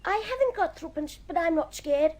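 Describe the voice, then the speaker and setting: A woman's voice: very high-pitched, lively intonation, moderate pace, a little mellow. A woman playing a cocky, scornful young boy in a radio drama, boastful and taunting.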